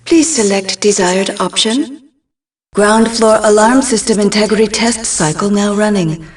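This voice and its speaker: Woman acting as slow, impassive security computer. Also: mid-range voice, recorded from a distance, natural voice. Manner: measured, impersonal, announcing. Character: impassive female computer of a building security system. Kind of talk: scripted video game system announcements.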